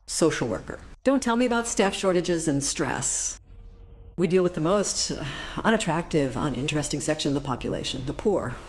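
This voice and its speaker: A woman's voice: deep voice, animated, normal speed, darkish tone, a little hiss or hum. An actress playing an embittered, combative female social worker in a radio drama, indignant and sarcastic.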